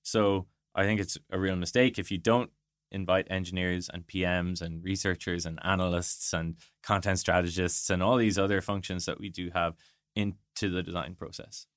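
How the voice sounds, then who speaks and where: A man's voice: deep voice, little pitch movement, normal speed, dry recording, studio quality. A man being interviewed as a guest, explaining his points conversationally and thinking aloud with illustrative asides. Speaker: interview guest, product design director.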